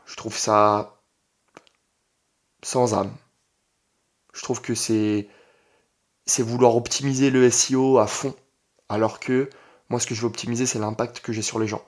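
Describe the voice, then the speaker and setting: A man's voice: mid-range voice, steady tone, a little slow, long pauses. Young Frenchman talking off the cuff, emphatic. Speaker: online entrepreneur and video creator. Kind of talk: casual vlog monologue.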